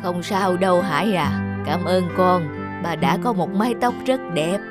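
Woman voicing an unhurried elderly grandmother over music. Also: on the low side, lightly acted. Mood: tender. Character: elderly rural grandmother, bashful, indulgent and gentle. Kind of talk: cartoon voice acting.

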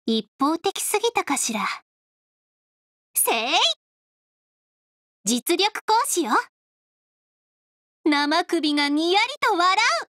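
Anime game role: proud young monster girl, high and unhurried, cool, confident delivery. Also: fairly bright tone, dry recording, studio quality, exaggerated voice. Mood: excited or frustrated, then menacing. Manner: cool, confident, faintly tsundere. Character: aloof, proud young female monster with detachable head. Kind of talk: anime mobile game voice lines. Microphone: close studio microphone, dry.

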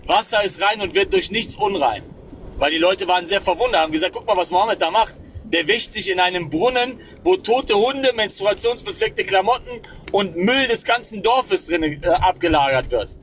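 A man's voice: sits high, moderate pace, fairly bright tone, slight background noise, poor audio. A man in his thirties hosting a livestream, talking in a sarcastic, scornful way and reading the chat aloud, recorded close on a phone. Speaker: livestream host. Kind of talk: mocking livestream commentary. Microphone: phone camera close up.

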